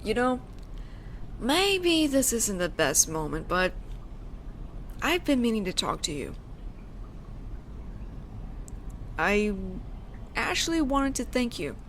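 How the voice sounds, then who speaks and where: A woman acting as a teenage tough girl from an audio drama, talking casually and gently to one listener. Her pitch moves in lively swings as she teases, then pauses thoughtfully. There is clear ambient noise behind her. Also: full character voice. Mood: sincere, hesitant. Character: teenage delinquent schoolgirl, teasing but softly caring tough girl. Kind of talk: slow intimate audio roleplay addressed to the listener.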